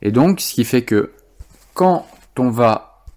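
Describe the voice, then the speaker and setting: A man presenting an informal software tutorial, explaining things step by step as he demonstrates them. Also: mid-range voice, animated, slow.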